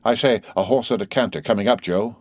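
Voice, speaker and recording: A man's voice: fairly low pitch, fast, dry recording, lightly acted. A man voicing a vexed, blustering stagecoach driver in a storybook, calling out emphatically. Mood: wary. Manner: emphatic, exclamatory calls to horses and guard.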